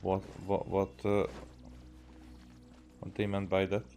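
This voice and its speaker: A man from Hungary with a Hungarian accent, musing aloud haltingly as he gives casual gameplay commentary. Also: low-pitched, completely flat delivery, warm tone, soft background music. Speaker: game streamer.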